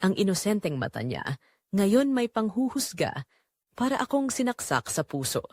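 Female storyteller for a romantic tragedy: emotive, sorrowful, highly melodic delivery, dry close sound. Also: sing-song, normal speed, darkish tone, no echo. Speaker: first-person story narrator. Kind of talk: dramatic scripted romance drama narration.

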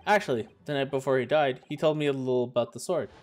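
A man voicing an earnest young male defense lawyer from a visual novel game, read in a quiet, sincere way over game music. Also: mid-range voice, quick pace, lightly acted.

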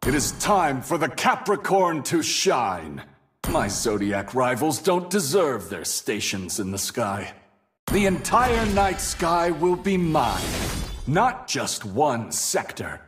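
An actor playing a proud, domineering celestial warrior in a video game, making grandiose, theatrical boasts. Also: male voice, on the high side, sing-song, slow pace. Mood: proud, commanding. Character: proud, domineering male Capricorn star warrior, boastful conqueror. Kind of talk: video game hero voice lines. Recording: game music and battle effects behind.

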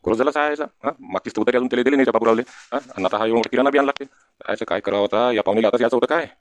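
A man's voice: mid-range voice, racing, no echo, lightly acted. A man voicing a wily, wheedling village debtor in a comic cartoon, with a rural Varhadi Marathi accent and questioning grunts tacked onto sentences. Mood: grumbling, worried. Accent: rural Maharashtra, Varhadi. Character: wily village husband, evasive debtor sweet-talking the shopkeeper.

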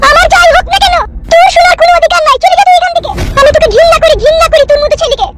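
A woman voicing a wronged young daughter in a comedy cartoon, with a shrill, melodramatic delivery over loud music. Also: very fast. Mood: scornful anger.

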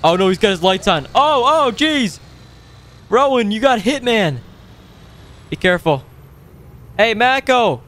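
A man hosting a gaming video with casual, playful roleplay commentary, excitable and given to exclaiming. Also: sits high, unhurried, pauses often. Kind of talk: casual improvised roleplay commentary over gameplay.

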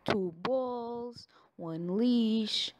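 A woman who is not a native English speaker, doing an affectionate ASMR girlfriend roleplay addressed straight to the listener. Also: a little high. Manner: slow, affectionate, with frequent sighs and giggles.

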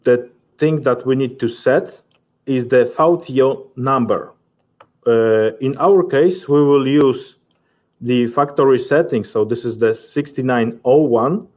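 A man in his thirties with a Polish accent, giving a halting, methodical software tutorial as a technical specialist. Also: medium pitch. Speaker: technical specialist presenting a webinar.

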